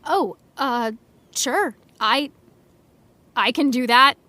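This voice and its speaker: Casting for audio drama: polite, nervous fangirl, high-pitched, slow and hesitant, natural dialogue. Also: pushed and bright, dry recording, full character voice. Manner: hesitant, halting, politely deferential. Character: nervous, polite female fan with private indignation.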